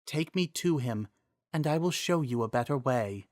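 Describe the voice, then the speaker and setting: A wise female spirit of a magical relic, acted by a man, speaks softly and gently. The delivery is calm, measured and reassuring, as in a fantasy storybook, with a close, dry sound. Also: mid-range voice, no room sound, natural voice. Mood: gentle, firm. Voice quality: soft. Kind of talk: dramatic fantasy storybook reading.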